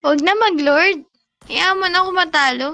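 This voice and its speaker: A woman's voice: extremely high pitch, moderate pace, vocal fry, bright, forceful tone. A Filipino woman chatting casually while gaming, playful and exclamatory, slipping into English.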